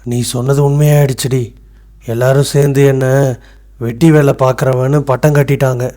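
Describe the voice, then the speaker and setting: Man acting an earnest, mellow-voiced village man. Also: medium pitch, little pitch movement, fairly quick, natural voice. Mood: hurt, downcast. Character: earnest village man mocked for keeping meticulous records. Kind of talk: acted dialogue in animated moral tale.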